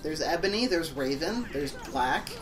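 A man's voice: fairly high pitch, lively intonation, moderate pace, music in the background. A young man co-hosting a let's play, switching between casual banter and mock-dramatic story reading in a sardonic way. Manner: sardonic, sweary. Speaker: let's play co-host reading fan fiction aloud.

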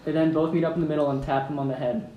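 A man's voice: on the high side, fairly even intonation, very fast, lo-fi sound. A man reading stage directions aloud at an amateur script table read in a room at home, in a plain manner with some amusement. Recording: roomy, distant mic with background noise.

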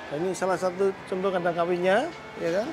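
A middle-aged man, walking through outdoor livestock barns and explaining his goat farm in a relaxed, matter-of-fact way. He speaks into a lapel mic. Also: sits high, fast, background noise. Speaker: goat farm owner giving a tour. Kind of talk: casual explanatory tour talk. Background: background music.

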